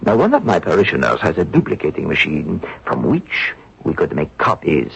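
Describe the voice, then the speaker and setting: An actor playing a grave French Protestant minister in a radio drama, measured and quiet. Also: male voice, extremely low pitch, wildly swooping pitch, vocal fry, husky, full character voice. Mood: grave, urgent. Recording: muffled old radio broadcast.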